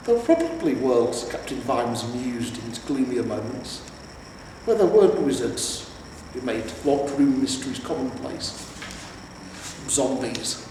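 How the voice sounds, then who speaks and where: A man reading a scripted story aloud in a measured storytelling way, with pauses. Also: mid-range voice, sing-song, warm tone. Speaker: amateur audiobook reader. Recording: roomy, echoing, distant mic with noise.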